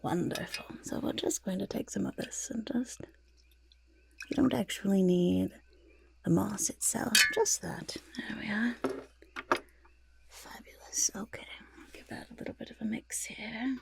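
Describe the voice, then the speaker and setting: Lady doing a super gravelly little goblin brewing potions, slow soft asmr muttering, doting and chirpy. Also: mid-range voice, full character voice. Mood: pleased, delighted. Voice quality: creaky, breathy half-whisper. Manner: muttering, repeats words, doting. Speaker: ASMR roleplay performer. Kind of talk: soft-spoken ASMR character roleplay.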